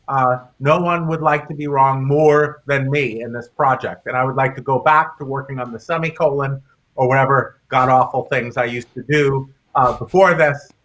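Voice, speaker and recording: A man with a fairly high, bright and forceful voice talks as a guest in a public discussion. He explains his argument in a halting way, doubling back on words as he goes. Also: poor audio. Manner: halting, hesitant delivery with repeated words. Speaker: guest author and speaker.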